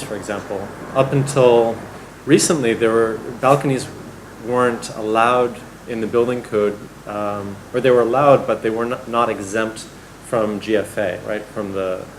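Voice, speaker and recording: A man's voice: fairly low pitch, steady tone, moderate pace, background noise. A male architect answering audience questions at a public lecture, thoughtful and hedging with fillers. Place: lecture hall.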